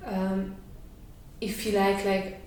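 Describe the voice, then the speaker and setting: A woman speaks in a flat, even tone, thinking aloud and filling her pauses with soft fillers. Her voice rings through a very echoey room, heard from some distance off the mic. Faint noise hangs underneath. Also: mid-range voice. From Romania. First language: Hungarian. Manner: hesitant, thoughtful, pauses with many fillers. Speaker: artist in residence. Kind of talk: reflective interview answers.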